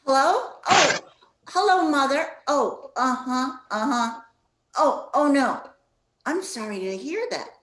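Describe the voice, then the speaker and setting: A woman's voice: sits high, lively intonation, slow, fairly bright tone, slight background noise, exaggerated voice. A woman playing a sweet yet strict mother in her thirties in an amateur radio play. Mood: concerned. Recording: echoey, roomy sound.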